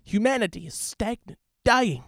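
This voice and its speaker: A man's voice: high-pitched, sing-song, fairly bright tone, dry recording, pitched above the performer's own voice, exaggerated voice. A man playing a grandiose, menacing video game villain in a theatrical dramatic reading. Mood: grandiose, pleading. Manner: theatrical, slow menacing pronouncements.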